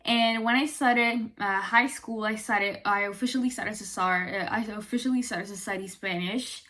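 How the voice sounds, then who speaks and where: Bright-voiced young woman. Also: mid-range voice, moderate pace. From Italy. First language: Italian. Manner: chatty and animated, full of "like" and "you know". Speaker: vlogger. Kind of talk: casual talk to camera.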